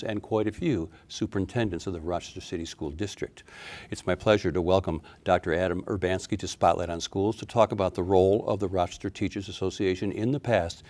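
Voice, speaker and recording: A man hosting an interview show, reading a formal introduction and asking conversational questions. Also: lowish voice. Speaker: host.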